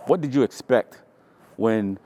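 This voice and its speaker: A man in his forties, a mentor leading a relaxed panel chat in a loose, reflective way. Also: mid-range voice, unhurried. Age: 40s. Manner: reflective, loose, asks with repeated questions. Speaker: staff mentor leading the discussion. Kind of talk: casual panel conversation.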